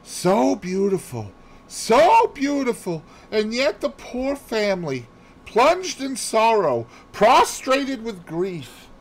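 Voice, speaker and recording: A man voicing a fussy, excitable little Belgian detective in an audiobook, theatrical and precise, dropping in French phrases. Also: high voice, slow pace, pitched above the performer's own voice, heavily acted, poor audio. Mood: grave. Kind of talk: audiobook character voice.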